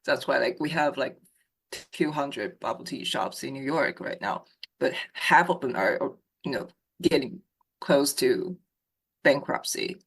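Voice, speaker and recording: A woman from Taiwan, a podcast guest, giving lively and opinionated views in a casual chat over a call. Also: rumbling low, a little slow, gravelly, slightly rough, low-quality recording.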